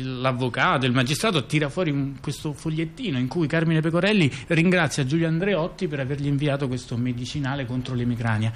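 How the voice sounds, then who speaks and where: A man with a mid-range voice tells a satirical story as if chatting across a table. He stumbles, repeats himself and wanders into asides, often checking that the listener follows. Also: mid-range voice. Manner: halting, digressive, repeats phrases and checks in with the listener. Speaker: storyteller-performer in a two-person stage dialogue.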